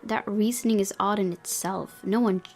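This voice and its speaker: A woman streamer reads a visual novel's narration aloud with dramatic expression and casual asides. Her mid-pitched voice has a noticeable fry to it. Faint music plays behind her. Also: fairly even intonation, normal speed. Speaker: game streamer.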